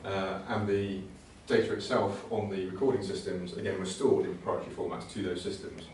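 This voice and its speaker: Male presenter, low-pitched, conversational and halting technical talk; distant mic in a very reverberant room. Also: fairly even intonation, darkish tone. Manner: hesitant, conversational, repeats words. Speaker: presenter at a computing conservation talk. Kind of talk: informal technical presentation with slides. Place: indoor talk venue. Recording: very echoey room, distant mic, faint noise.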